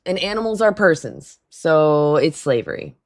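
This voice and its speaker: Low raspy hoarse lady hosting her live stream, chatty, laughs a lot, talks to the chat. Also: lively intonation, moderate pace. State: hoarse throat. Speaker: livestream call-in host. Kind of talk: casual livestream chat with callers.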